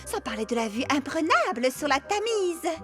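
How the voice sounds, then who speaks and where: Very high-pitched haughty cartoon noblewoman. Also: sing-song, normal speed. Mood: scornful confession. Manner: melodramatic, disdainful. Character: haughty, scornful gold-digging noblewoman wife. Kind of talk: French-dubbed children's cartoon acting. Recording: music behind the voice.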